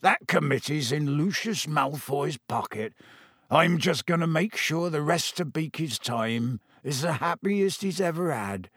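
A man doing a gruff, grieving groundskeeper in rough dialect. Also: fairly high pitch, expressive, measured pace, fairly bright tone, no room sound, studio quality, exaggerated voice. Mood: sorrowful. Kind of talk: audiobook character voice.

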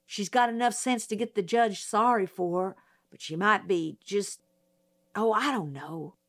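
A woman's voice: medium pitch, measured pace, a bit bright. A woman reading a storybook voices a thoughtful teenage boy who speaks hesitantly. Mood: unsure.

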